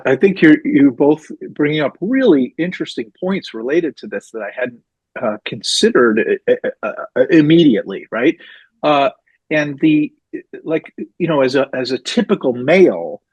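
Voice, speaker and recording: A man's voice: medium pitch, darkish tone, husky, no room sound. A man hosting a casual roundtable, explaining things with lots of "uh" and "right?" checks. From North America. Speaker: host.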